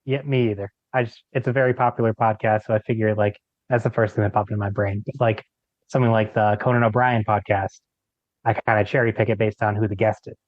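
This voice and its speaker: A man's voice: lowish voice, level tone, fast, dry recording. A man speaking as a podcast guest in a casual, informal chat, recorded with slightly lo-fi sound. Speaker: podcast guest, creator of an audio drama.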